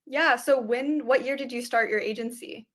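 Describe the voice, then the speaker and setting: A woman's voice: fairly high pitch, moderate pace. A woman hosting a live webinar in an upbeat, casual way, using "like" a lot. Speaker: host.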